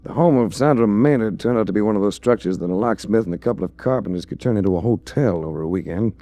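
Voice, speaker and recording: A man's voice: lowish voice, quick pace, warm tone, lightly acted. An American man playing a suave, shrewd adventurer-detective in a 1940s radio drama, speaking with dry, worldly understatement. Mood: wry narration. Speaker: lead actor and first-person narrator in a radio detective series.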